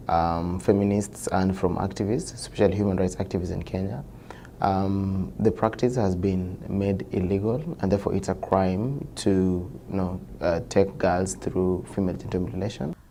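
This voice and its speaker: A man with a very deep, mellow voice answers interview questions, hesitating often and filling gaps with pauses. A faint rumble sits behind him. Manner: hesitant, many fillers. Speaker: interviewee on human rights. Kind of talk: documentary interview.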